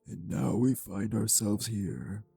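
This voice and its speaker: A deep, heavily creaky male vampire, voiced by an actor for an audio roleplay. He is urbane and menacing, choosing his formal words slowly and with condescension, over music. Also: steady tone, warm tone, heavily acted. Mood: cool, amused. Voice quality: gravelly, low. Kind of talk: audio roleplay drama acting.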